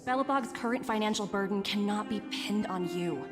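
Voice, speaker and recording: A woman's voice: mid-range voice, over music, close to an everyday voice. Woman playing a businesslike official, heavily reverberant. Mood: measured, firm. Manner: measured, diplomatic, articulate. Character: formal, businesslike female official negotiating firmly. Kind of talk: English game voice acting. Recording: long reverberant hall effect.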